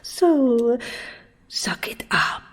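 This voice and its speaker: A woman playing a sultry, sharp-tongued diva in a comic audio drama, arch and languid with a breathy delivery. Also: on the high side, very animated, extremely slow, in a whisper, long reverb tail, full character voice. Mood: mocking, imperious.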